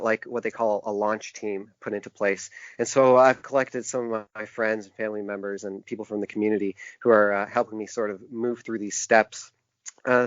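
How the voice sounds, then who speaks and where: Halting man on a dull, dry recording. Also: lowish voice, a bit bright, no room sound. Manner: halting, thoughtful, explains in detail. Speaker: podcast guest, author and indie publisher. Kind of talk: casual interview conversation. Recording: dull, dry lo-fi podcast audio.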